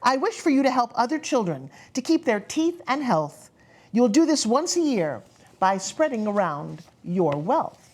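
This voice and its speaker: A woman voicing a kindly magical letter writer who grants second chances in gentle, solemn storybook rhyme. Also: a little high, big pitch swings, a little slow. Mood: kindly, instructing.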